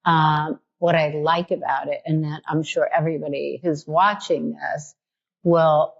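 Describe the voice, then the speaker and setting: New York woman host, low muffled voice. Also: little pitch movement, unhurried, vocal fry. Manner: thinks aloud with many restarts, enthusiastic. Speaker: podcast host. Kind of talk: casual conversational interview.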